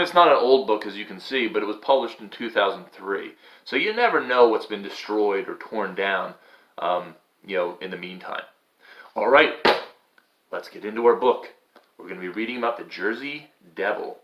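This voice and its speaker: A man's voice: on the low side, lively intonation, normal speed, a bit bright, lo-fi sound. A man hosting and reading a storybook, moving between casual chat and a theatrical, suspenseful delivery. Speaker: host and storyteller.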